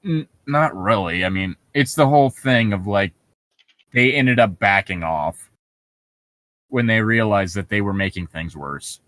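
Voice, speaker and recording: A man's voice: on the low side, unhurried, a bit bright, no echo. Guy reading creepy internet stories, chatty, trips over words, close dry audio. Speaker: podcast co-host reading internet horror stories. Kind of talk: reading aloud with casual commentary.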